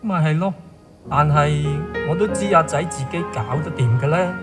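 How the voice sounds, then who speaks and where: A man's voice: a little high, lively intonation, normal speed, over music, close to an everyday voice. A man voicing a tired father who grumbles during a family argument. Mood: weary.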